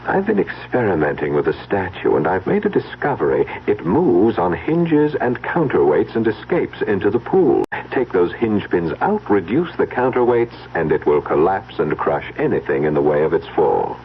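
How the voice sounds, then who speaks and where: A low-pitched, swooping suave gentleman murderer from a radio mystery. He is falsely casual and stumbles politely over his cold scheming. The sound is a noisy, narrow old broadcast. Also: very animated, normal speed, hardly any pauses. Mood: cold, conspiratorial. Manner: hesitant, stammering politeness masking cold calculation.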